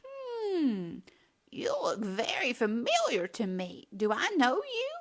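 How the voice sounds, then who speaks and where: A woman's voice: high voice, huge pitch swings, takes it slowly, voice pushed up, heavily acted. A woman voicing a cheerful, friendly neighbour lady dressed as a fairy in a children's storybook. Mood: curious. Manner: amused, friendly.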